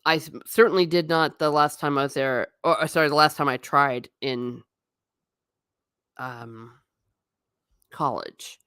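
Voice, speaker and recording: A woman's voice: low-pitched, fairly even intonation, measured pace, pauses often, fairly bright tone, clear, resonant tone, no room sound, studio quality. A middle-aged woman chatting on a stream about travel photos, rambling and self-deprecating. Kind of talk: casual stream commentary.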